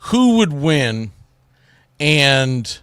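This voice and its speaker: A man's voice: medium pitch, very animated, very slow, a bit bright, clean tone, dry recording, clean studio sound. A man hosting a solo sports talk show, giving commentary in an informal, opinionated way.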